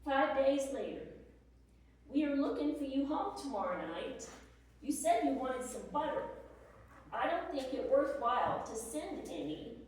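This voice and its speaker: A woman's voice: high voice, animated, measured pace. A woman playing a weary, lonely Civil War wife, plaintively reading her letters to her husband in a dramatic reading. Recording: echoey hall, distant microphone.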